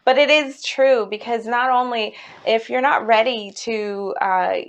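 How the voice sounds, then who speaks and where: A woman speaking as a company CEO, telling a personal story in a promotional talk, earnest and halting, with stress on key words. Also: a little high, highs cut off.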